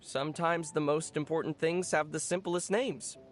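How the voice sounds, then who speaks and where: A young adult actor playing a calm, gently teasing traveler hero in scripted video game dialogue. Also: mid-range voice, normal speed, loud music behind the voice, natural voice. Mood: calm, informative.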